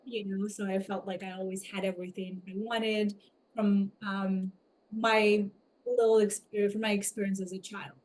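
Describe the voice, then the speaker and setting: A woman from Ukraine with a Ukrainian accent, telling her personal story as an interview guest, reflective and halting as she picks her words. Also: medium pitch, flat delivery, a little mellow, low-quality recording. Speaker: podcast guest, somatic coach.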